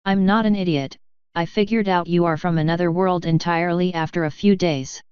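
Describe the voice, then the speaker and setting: A woman's voice: lowish voice, fairly even intonation, brisk, darkish tone, smooth, no echo, lo-fi sound, natural voice. A woman voicing a knowledgeable female unicorn mage who teaches patiently in an audiobook story. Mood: matter-of-fact.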